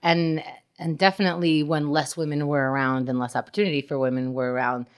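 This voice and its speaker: A woman's voice: low-pitched, steady tone, fairly quick, smooth. A woman interviewing a guest on a podcast in a casual, conversational way.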